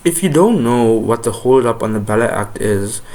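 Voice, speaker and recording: A man's voice: mid-range voice, expressive, normal speed. A man with a South African accent delivering an opinionated political monologue, heated and profane, often stopping and restarting his sentences. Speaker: political commentator.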